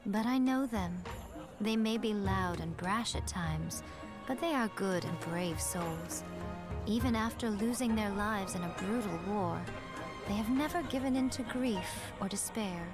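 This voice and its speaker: A woman voicing a poised, gently teasing shrine priestess in a video game. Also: medium pitch, unhurried, lightly acted. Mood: reassuring. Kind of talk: game character voice acting. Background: game music.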